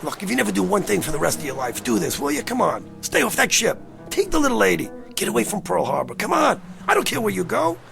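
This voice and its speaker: Male, high gravelly voice, agitated and haunted, insistent drama delivery over music. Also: very animated, fast talker, fairly bright tone, exaggerated voice. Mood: urgent pleading. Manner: urgent, pleading. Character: agitated, insistent man haunted by a recurring dream.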